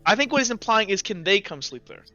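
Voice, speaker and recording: A man's voice: high voice, very animated, fast talker, sharp tone, lo-fi sound, faint music behind. A man speaking as game master, casually narrating a tabletop roleplaying game.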